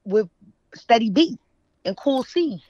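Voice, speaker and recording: A woman's voice: fairly high pitch, slow pace, no room sound. A middle-aged woman with a Philadelphia accent mixed with New York, chatting casually and telling stories in slang as an interview guest. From West Philadelphia, United States. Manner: animated slangy storytelling, checks in with "right?". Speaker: radio show guest, writer. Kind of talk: casual interview chat, reminiscing. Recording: dull, muffled audio.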